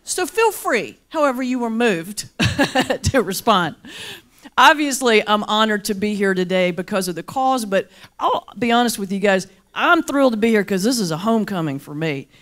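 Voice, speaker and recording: A woman from the mountains of North Carolina with a Southern accent, a pastor and comedian giving a lively, humorous keynote speech to a large luncheon crowd. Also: medium pitch, normal speed. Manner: lively, playful, folksy with audience call-and-response. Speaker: pastor and comedian, guest speaker. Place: large banquet hall before a crowd.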